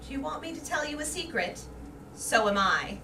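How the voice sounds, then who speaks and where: A woman's voice: high voice, sing-song, normal speed. A woman playing a devoted, motherly and knowing soldier's wife in a staged play reading. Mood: fond, confiding. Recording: distant mic, echoey room, background noise.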